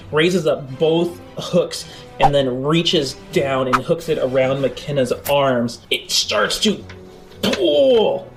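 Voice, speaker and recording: A man narrating a tabletop game as game master, describing scenes in halting, improvised phrases. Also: mid-range voice. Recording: background music under the voice. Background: music.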